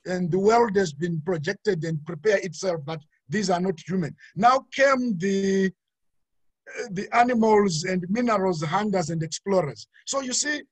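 A man's voice: sits high, unhurried, husky, no room sound. A middle-aged man from Zimbabwe, speaking earnestly on a video call panel, with many fillers and restarts. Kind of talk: webinar talk. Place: indoor home room. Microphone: laptop webcam, video call.

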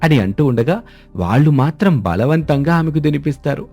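A man's voice: on the high side, expressive, moderate pace, darkish tone, soft background music. A man narrating a scripted story as its storyteller.

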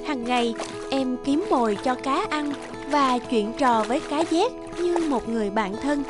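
High-pitched woman narrating a fairy tale. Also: measured pace, music in the background.

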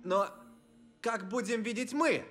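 A man's voice: very high-pitched, a little slow. A man voicing a timid, obedient henchman in a dubbed cartoon, hesitant and deferential. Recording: music behind the voice.

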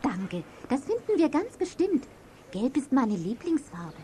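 A woman playing a gentle, sensible, cheerful girl in a children's audio drama. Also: sits high, sing-song, a little slow, slight background noise. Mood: gentle, keen.

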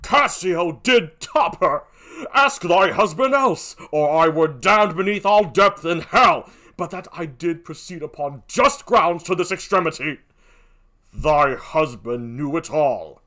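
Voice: mid-range voice, expressive, takes it slowly, bright, forceful tone. A performer voicing a jealous, commanding and anguished husband in a declamatory, theatrical Shakespearean stage reading. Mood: furious. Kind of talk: classical Shakespearean stage acting.

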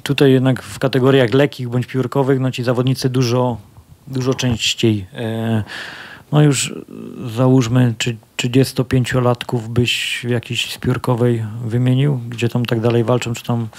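A man's voice: medium pitch, level tone, normal speed, darkish tone. A man in his thirties, a fighter answering press questions into a handheld mic, talking casually with lots of fillers and restarts. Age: 30s. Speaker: MMA fighter. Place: press conference room with sponsor backdrop. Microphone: handheld microphone.

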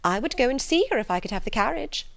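Woman as fussy middle-aged mother, scheming, gushing, emphatic, raised pitch, audiobook storytelling. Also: expressive, fast talker, vocal fry, no echo. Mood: brisk, insistent. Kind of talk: classic novel audiobook character.

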